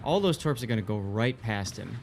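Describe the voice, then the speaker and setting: A man's voice: fairly low pitch, expressive, fairly quick, vocal fry, background noise. A man giving live play-by-play on an esports match in a casual, colloquial way. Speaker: esports co-commentator. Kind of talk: live play-by-play game commentary.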